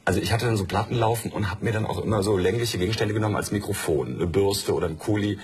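Deep-voiced man chatting reflectively. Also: fast, a little mellow, a little hiss or hum. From Germany and Norway. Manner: chatty, reflective, many fillers. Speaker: studio guest. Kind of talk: casual conversation.

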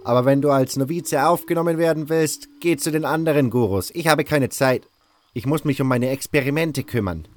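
A man's voice: medium pitch, a little fast, voice pushed up, natural voice. A man puts on a haughty alchemist guru from a fantasy audio drama. His voice is cold and raised well above the narrator's, and he snaps with impatient contempt. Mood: irritated, dismissive. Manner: snappish, haughty, dismissive.

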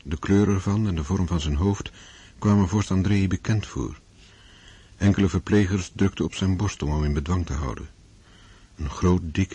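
A man narrating a war story in a radio drama, measured and sombre. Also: sits low, dark tone, breathy edge, full character voice. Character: sober storyteller of a war novel.

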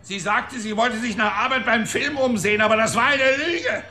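A man's voice: very high-pitched, normal speed, sharp tone, roomy sound, heavily acted. An actor playing a cranky, cocky old man, a wisecracking and belligerent mechanic, in a German radio drama. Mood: agitated, scornful. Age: older. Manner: wisecracking, belligerent, long-winded.

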